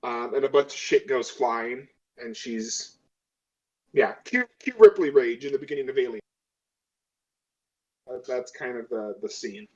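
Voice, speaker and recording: A man casually describing things as a tabletop game player, in halting, trailing phrases. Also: mid-range voice, animated, darkish tone, highs cut off.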